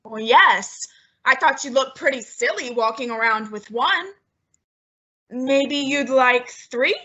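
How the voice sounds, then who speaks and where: A melodramatic young housewife from an old-time radio sitcom, played by a woman. Her voice is high, bright and unhurried. She delivers everything with indignant, exaggerated comic flair. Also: expressive, dull audio, full character voice. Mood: coy, pleased. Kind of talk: 1950s radio sitcom acting.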